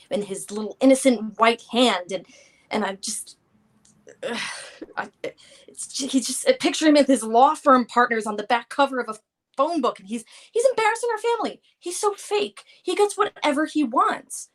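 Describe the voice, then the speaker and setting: Woman performing as anxious daughter, high voice, hesitant, earnest, rambling, edgy, play reading. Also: lightly acted. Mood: agitated, conflicted. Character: anxious, edgy daughter confessing violent urges. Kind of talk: live online play reading.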